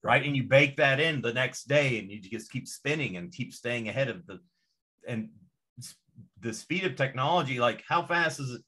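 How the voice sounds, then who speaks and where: A man with a husky, breathy edge to his voice, talking casually on a podcast. He rants with emphatic, profane energy and hammers his points home. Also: medium pitch, animated, moderate pace, sharp tone. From Indonesia, to American parents. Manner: emphatic, profane, fired-up ranting.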